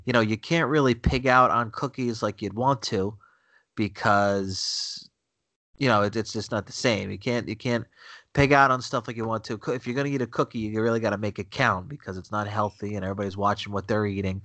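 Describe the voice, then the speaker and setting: A man's voice: fairly low pitch, fairly even intonation, a little fast, fairly bright tone, dry recording. Blunt, stuffy-nosed man chatting. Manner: blunt, opinionated, repeats himself. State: stuffy nose from a cold. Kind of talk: casual chat. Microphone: remote call connection.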